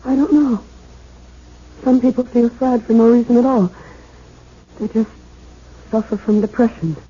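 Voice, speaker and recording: Distraught young woman, high voice, mellow tone, halting, tearful, insistent, vintage radio drama. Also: steady tone, breathy edge. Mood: subdued, sad. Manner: pleading, insistent, halting with repeated words. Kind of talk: 1970s radio drama acting. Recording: muffled vintage radio broadcast.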